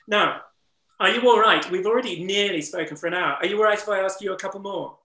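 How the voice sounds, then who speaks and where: Bright-voiced Englishman, strained, roomy echo. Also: high-pitched, fast, a little hiss or hum. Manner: eloquent, earnest, reflective. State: voice strained from disuse. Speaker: interviewer and wildlife trust ambassador. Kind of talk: webinar conversation. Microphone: video call.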